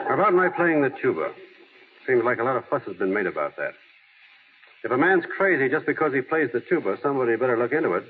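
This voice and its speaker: A man's voice: mid-range voice, lightly acted. An actor playing an earnest, plainspoken small-town American man in old radio drama style, folksy and a little hesitant. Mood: mild, wry. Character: earnest small-town everyman, plainspoken, gently wry, heir to a fortune. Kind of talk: 1930s-40s American radio drama acting. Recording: tinny, hissy old radio broadcast.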